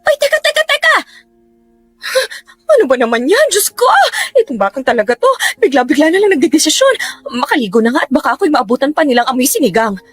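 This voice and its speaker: A woman's voice: high-pitched, very animated, fast talker. An actress playing a flustered, vain middle-aged mother in a radio drama, exclaiming and stammering.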